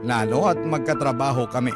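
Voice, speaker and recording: Male radio storyteller over loud music. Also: medium pitch, fairly quick. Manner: heartfelt first-person letter reading. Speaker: radio drama narrator reading a listener's letter. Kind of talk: scripted storytelling narration. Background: loud background music.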